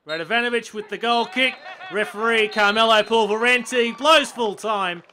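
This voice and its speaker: Bright, high-voiced Australian football commentator. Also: male voice, moderate pace. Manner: excitable bursts at chances and goals. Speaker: match commentator. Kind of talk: football commentary over highlights.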